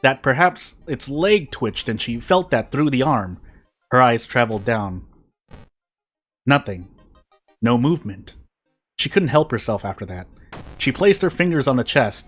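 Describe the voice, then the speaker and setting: A man reading a story aloud in deliberate phrases with short pauses. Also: medium pitch, a bit bright. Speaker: fan fiction reader on a video channel. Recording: music behind the voice.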